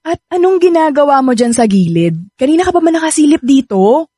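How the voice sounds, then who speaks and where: A sassy young woman in a radio drama. Her voice is high and closely recorded with little room sound. She is brusque and sarcastic, keeping her guard up while she teases. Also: big pitch swings, brisk, mellow, dry recording, studio quality. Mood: mildly exasperated. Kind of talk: Filipino radio drama acting.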